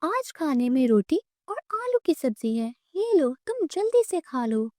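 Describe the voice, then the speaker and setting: A woman voicing a kind, self-sacrificing young orphan girl, an elder sister in a cartoon moral story, gentle, earnest and polite. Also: high-pitched, very animated, a little slow, dry recording, clean studio sound, voice pushed up. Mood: reassuring.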